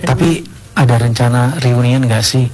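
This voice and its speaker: A man's voice: medium pitch, little pitch movement, normal speed. A man hosting a casual interview, informally prompting his guests with questions. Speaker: interview host.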